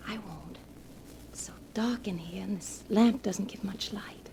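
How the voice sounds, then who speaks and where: A woman's voice: medium pitch, a little slow, gravelly, dark tone. An actress playing a polite, nervous young woman in a 1940s radio horror melodrama. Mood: timid, hushed. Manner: polite, timid, anxious. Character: nervous young niece exploring a creepy old house. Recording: faintly noisy 1940s radio recording.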